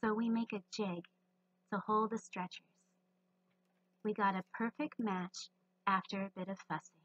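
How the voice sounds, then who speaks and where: A woman's voice: medium pitch, steady tone, normal speed, no room sound, highs cut off. A woman giving scripted instructional narration for a woodworking video.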